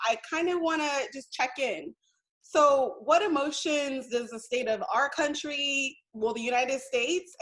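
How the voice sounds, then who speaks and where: Panel host, woman from Nigeria, measured delivery with um fillers, voice a little high. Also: poor audio. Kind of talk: panel discussion.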